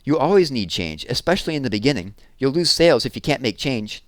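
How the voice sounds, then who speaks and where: A man's voice: mid-range voice, very animated, fast, no room sound, studio quality, voice pushed up, lightly acted. A man voicing an eager young girl who pleads and insists in a raised voice. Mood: insistent.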